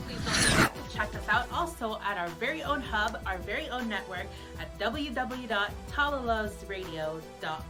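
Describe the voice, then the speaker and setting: A woman with a fairly high voice works as a radio DJ, announcing station promos in an upbeat style. Music plays clearly behind her as she runs through the schedule.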